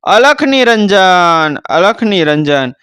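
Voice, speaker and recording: A devout Gujarati holy man , acted by a male storyteller, calls out in a high, resonant voice. His ritual cry is slow, stretched and raised, as in an oral folk tale. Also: sing-song, clean tone, no room sound, clean studio sound, full character voice. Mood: solemn calling. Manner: drawn-out, loud ritual calling. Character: devout male head monk chanting a holy call.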